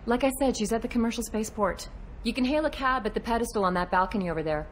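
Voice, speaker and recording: A woman's voice: fairly high pitch, fast talker, slight background noise, lightly acted. A woman playing a wary alien police officer in a video game, explaining things in an earnest, matter-of-fact, slightly exasperated way. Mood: earnest, concerned.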